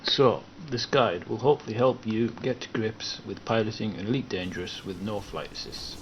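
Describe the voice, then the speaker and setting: A lowish male voice with a northern English accent that sometimes drifts southern, reading a game tutorial. He explains patiently and hedges his points with careful asides. There is clear noise underneath the voice-over. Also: rough recording. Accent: northern English, with southern English at times. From England. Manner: measured, explanatory, wordy with careful qualifications. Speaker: video game guide maker. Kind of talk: partly read-out tutorial narration.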